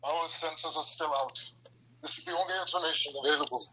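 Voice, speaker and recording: An actor playing a grave, measured alien male superhero in an animated script reading. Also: on the high side, background noise, natural voice.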